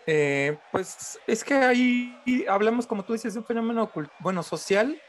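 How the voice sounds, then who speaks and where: A man's voice: very high voice, animated, normal speed, a faint crowd, a little hiss or hum. A Mexican man arguing his point in a casual panel discussion, often feeling his way through sentences.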